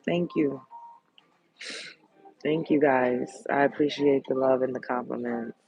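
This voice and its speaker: Woman host, deep and sultry, gravelly husky tone, candid and blunt. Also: steady tone, measured pace, darkish tone, muffled recording. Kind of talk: casual livestream chat reading comments.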